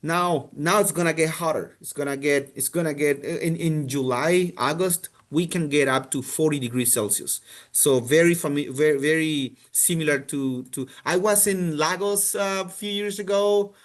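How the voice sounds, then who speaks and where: A man's voice: on the high side, moderate pace. A man from Colombia, a university representative, answering questions on a video call, with many restarts and fillers. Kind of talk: casual answers in a virtual info session.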